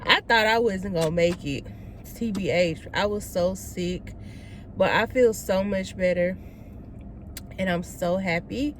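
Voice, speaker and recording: A woman's voice: medium pitch, measured pace, a little hiss or hum. A woman in her twenties, from Texas, talking casually to viewers in a vlog, filmed on a phone in her car. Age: 20s. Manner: chatty, addresses viewers as y'all. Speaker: vlogger. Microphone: phone held at arm's length.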